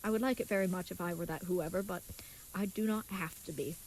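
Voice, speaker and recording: A woman's voice: fairly low pitch, quick pace, slight background noise, natural voice, poor audio. A woman playing an earnest, naive, chivalrous young knight in an audio comedy, speaking with stilted, wordy politeness. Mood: earnest, musing. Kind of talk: scripted audio comedy acting.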